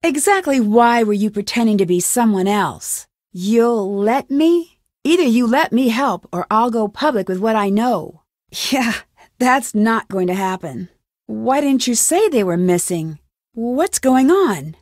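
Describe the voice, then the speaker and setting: Young American female sleuth for a game: determined, clearly spoken, higher-pitched, dry studio sound. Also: lively intonation, unhurried, darkish tone, dry recording. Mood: insistent, probing. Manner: assertive, questioning, clearly enunciated. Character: determined young female amateur detective, plucky and probing. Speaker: game protagonist. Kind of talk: video game voice acting.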